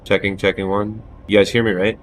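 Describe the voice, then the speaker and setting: A man in his thirties chatting casually on a live stream from a small room at home, loud-voiced, loose and self-deprecating, with frequent swearing, on a headset mic. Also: on the low side, little pitch movement, fairly quick, a little hiss or hum. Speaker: game streamer.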